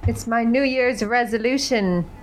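A woman's voice: on the high side, measured pace, slight background noise. A British woman co-hosting a podcast, chatting in a dry, teasing way. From Britain. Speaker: podcast co-host. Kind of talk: casual chat.

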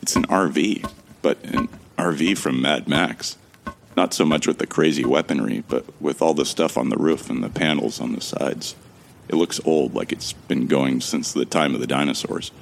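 Very deep gravelly amnesiac played by a man. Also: normal speed, a little hiss or hum. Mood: wry, musing. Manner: wry, musing. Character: bewildered amnesiac man, wryly recounting his ordeal. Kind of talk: first-person scripted narration in a sci-fi audio drama.

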